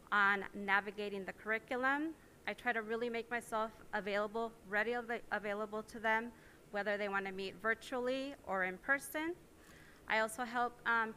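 A middle-aged woman who is a school language coach, earnestly listing her duties with short pauses to a board in a meeting room. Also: fairly high pitch, bright, forceful tone, a little hiss or hum. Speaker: dual language teacher on special assignment. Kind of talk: formal self-introduction.

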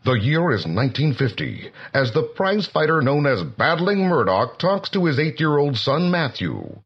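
A man's voice: on the high side, big pitch swings, normal speed, a little hiss or hum. A man narrating a comic-book story from a script in a dramatic style. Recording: dull lo-fi, loud music behind.

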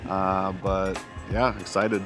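A man in his thirties hosting a travel vlog, chatting casually outdoors and often filling pauses with "uh" and "yeah". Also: sits low, music in the background. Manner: relaxed, lots of "uh" and "yeah". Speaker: vlog host. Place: outdoors on a hillside above a small town.

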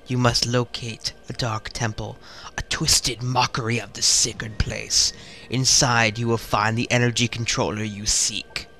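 A man voicing a solemn dead alien temple sentinel with a put-on British accent, reading in a grave, measured, formal way. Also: medium pitch, little pitch movement, sharp tone, faint music behind.

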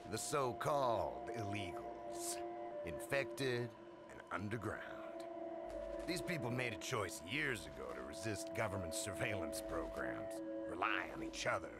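An actor playing a grave, deliberate narrator in dramatic video game storytelling. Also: male voice, lowish voice, sing-song. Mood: solemn. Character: grave male narrator of a zombie outbreak. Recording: loud game music behind.

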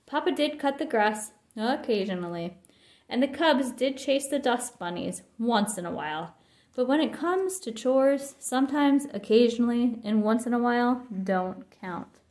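A woman's voice: fairly high pitch, lively intonation, moderate pace, a little mellow, roomy sound. A woman reading a children's picture book aloud with expression. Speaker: storybook reader.

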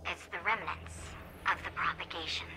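Composed, knowing seer. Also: female voice, on the low side, steady tone, pushed and bright, low-quality recording. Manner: measured, formal, assured. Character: composed, knowing female seer of high rank. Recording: game music behind.

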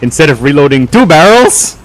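A man's voice: fairly high pitch, sing-song, a little fast, faint music behind, poor audio. A man joking wryly and swearing freely while playing a co-op game with friends. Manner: wry, jokey, swears freely. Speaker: channel host. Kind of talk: casual co-op gaming chat.

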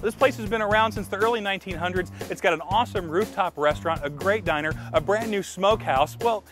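A man's voice: sits high, fast talker, music in the background. A man hosting a local show, presenting to camera in a playful, jokey way. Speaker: show host.

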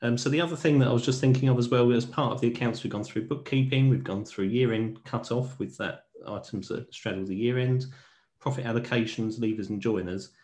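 A middle-aged man, an accountant, hosting a professional discussion over a video call, measured and asking colleagues for their views. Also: medium pitch, darkish tone. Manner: measured, asks colleagues questions. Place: home room with teal wall. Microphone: earphone headset on video call.

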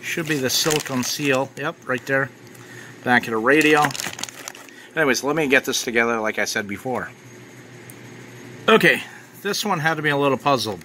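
A man talks casually through an unboxing as a reviewer. His voice sits at a middle pitch with a bright, forceful edge. There is faint noise behind him in a simple handheld recording. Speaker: ham radio reviewer.

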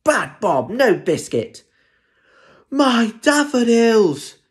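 A man voicing exasperated, scolding parents in a children's story, with drawn-out outraged exclamations. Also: extremely high pitch, sing-song, voice pushed up, full character voice.

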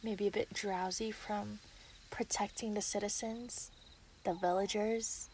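A woman's voice: medium pitch, level tone, measured pace, darkish tone, a little hiss or hum, natural voice. A woman voicing a menacing, taunting female werewolf in an ASMR roleplay audio drama, often dropping toward a whisper. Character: gleeful, menacing female yandere werewolf villain.

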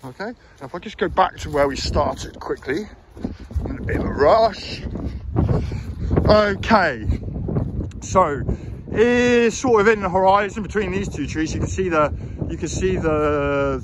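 A man walking through an outdoor park, explaining and criticising plans in a hesitant way with many fillers, recorded on a handheld phone. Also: fairly high pitch. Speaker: local election candidate. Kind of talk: walking tour commentary. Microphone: phone camera held while walking. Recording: phone recording outdoors with faint background noise.

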